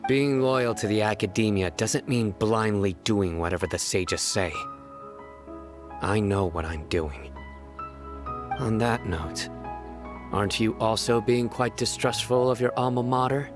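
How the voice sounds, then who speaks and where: A male actor as a stern, guarded young lawman in a video game, with a low voice. He is serious, blunt and controlled. Faint music sits behind him. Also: a little slow, lightly acted. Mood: stern, resolute. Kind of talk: scripted video game cutscene acting.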